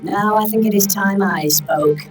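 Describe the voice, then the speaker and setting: A man's voice: high voice, measured pace, faint music behind, voice pushed up. A man voicing a talking handbag that scolds everyone in a lofty, reproachful tone in an audiobook. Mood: stern.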